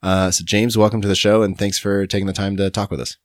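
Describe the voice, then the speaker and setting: A man in his thirties with a notably low voice hosts a casual podcast, speaking in an easygoing, rambling way full of ums and restarts. The sound is close and dry, with no room behind him. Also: fast, dry recording. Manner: relaxed, rambling, many fillers. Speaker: podcast host. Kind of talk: casual podcast interview and intro.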